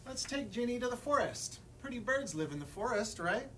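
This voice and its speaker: A man's voice: very high-pitched, big pitch swings, a little fast, fairly bright tone. A man reading a children's picture book aloud as a librarian at story time, expressively, putting questions to young listeners. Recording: distant mic, room noise.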